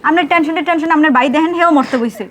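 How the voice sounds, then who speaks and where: High female voice, quick, Barisal accent, acted worried devoted young in-law, coaxing drama delivery. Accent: Barisal, southern Bangladesh. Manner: urgent, coaxing. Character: anxious, devoted younger sister-in-law, caring and reassuring. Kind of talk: emotional drama acting.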